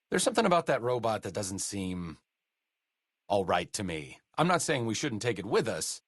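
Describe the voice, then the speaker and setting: A middle-aged wasteland doctor with a sarcastic, deadpan wit and a lowish voice that swoops freely up and down. The lines come from a dry, close studio booth with no room sound. He sounds like a game companion trading wry remarks. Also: no echo. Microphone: close studio mic.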